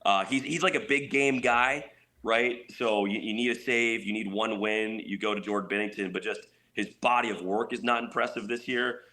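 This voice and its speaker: A man's voice: fairly low pitch, fairly even intonation, moderate pace, pushed and bright. A man giving enthusiastic, conversational hockey analysis as a guest on a sports radio show. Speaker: hockey play-by-play announcer as guest. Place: at home on a couch, remote call.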